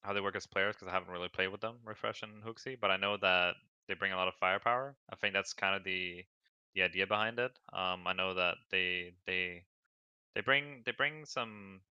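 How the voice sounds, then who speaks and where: A man's voice: low voice, fairly quick, pushed and bright, clear, resonant tone, no room sound, lo-fi sound. A Danish man who speaks English as a second language, an esports player chatting casually in an interview with many hesitations. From Denmark. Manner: hesitant, lots of fillers.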